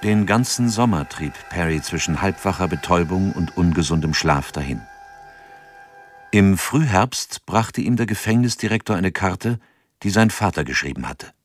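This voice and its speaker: A man narrating an audio drama from a script in a measured, sober manner. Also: sits low, animated, faint music behind.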